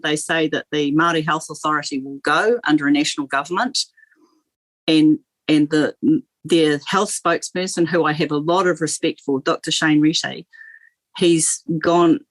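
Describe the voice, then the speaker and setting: A woman's voice: deep voice, no echo. A woman, a guest on political talk radio, speaking earnestly with many restarts and repeated words.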